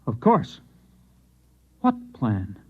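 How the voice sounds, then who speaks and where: An actor playing an unstable, bewildered Martian trapped in a human body, earnest and pleading, in an old-time radio drama. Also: male voice, medium pitch, wildly swooping pitch, very slow, breathy edge, full character voice. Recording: dull lo-fi old radio recording.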